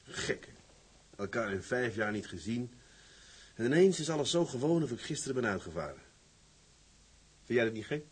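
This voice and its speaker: An actor playing a gruff, reserved middle-aged seafarer with a tender side in a drama, speaking bluffly and colloquially. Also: male voice, medium pitch, big pitch swings, normal speed, long pauses. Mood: wry. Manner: bluff, mildly swearing, colloquial. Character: gruff, reserved seafaring man, older suitor, tender underneath. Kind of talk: dramatized dialogue acting.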